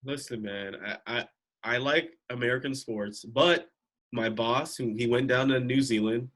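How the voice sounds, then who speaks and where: Husky chill dude on a podcast, talks easy, lots of "bro". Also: male voice, mid-range voice, measured pace, fairly bright tone. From Los Angeles. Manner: laid-back, laughing, repeats "bro". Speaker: podcast co-host. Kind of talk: casual comedic chat.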